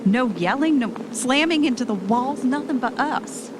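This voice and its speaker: A woman's voice: sits high, animated, moderate pace. A woman acting an affectionate, easily unnerved young wife in an amateur audio drama, speaking casually and conversationally. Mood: rattled. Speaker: actor in an amateur radio drama. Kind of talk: naturalistic audio drama dialogue. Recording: noticeable background noise, amateur recording.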